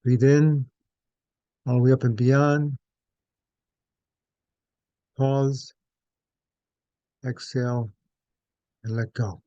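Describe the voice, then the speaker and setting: Mellow-voiced man guiding a meditation. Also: mid-range voice, steady tone, smooth, no room sound, dull audio. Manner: measured, gentle phrasing with pauses. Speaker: meditation guide. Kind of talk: guided meditation, slow and instructional.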